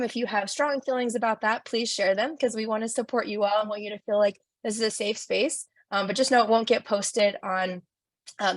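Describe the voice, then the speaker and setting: A woman's voice: on the high side, no echo. Upbeat woman hosting a video webinar. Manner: upbeat, frequent um fillers. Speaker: webinar facilitator. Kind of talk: online workshop hosting. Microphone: video call.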